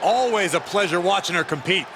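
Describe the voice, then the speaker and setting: A man playing an excitable TV wrestling announcer in a video game, calling the action as sports commentary. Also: sits high, fairly quick, lightly acted. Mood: excited. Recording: loud arena crowd and noise behind. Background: roaring arena crowd.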